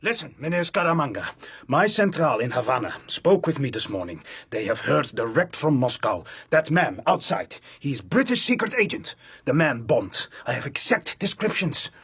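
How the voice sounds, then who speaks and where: An officious foreign spymaster in a radio thriller. His voice is high for a man and extremely raspy, jumping about with indignation. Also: big pitch swings, normal speed, fairly bright tone, exaggerated voice. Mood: cold, urgent. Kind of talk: radio drama acting.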